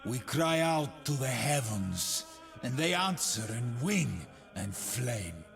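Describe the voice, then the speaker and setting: An actor playing a grim, defiant, battle-worn warrior in a video game, solemn and deliberate, with a foreign accent. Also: male voice, medium pitch, big pitch swings, creaky, a bit bright, heavily acted. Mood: fierce, grim. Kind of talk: dramatic video game character voice lines. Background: cinematic theme music.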